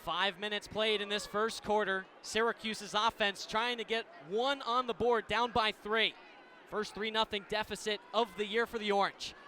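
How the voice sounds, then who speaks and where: A very high-pitched American man calls a game live on radio. His tone is bright and forceful, his phrasing clipped and descriptive. Faint sports venue ambience sits behind the voice. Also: little pitch movement, normal speed, slight background noise. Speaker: play-by-play radio commentator. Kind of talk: live lacrosse radio commentary.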